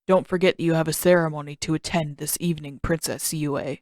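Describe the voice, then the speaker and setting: A woman's voice: low-pitched, steady tone, fairly quick, darkish tone, no echo, clean studio sound, pitched below the performer's own voice, close to an everyday voice. A woman voicing a stern, disapproving male palace guard in a fantasy audiobook, curt in manner.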